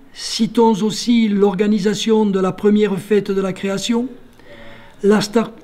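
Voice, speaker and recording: A man, a local official, delivering a formal prepared speech in measured institutional phrasing with careful lists. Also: sits high, warm tone.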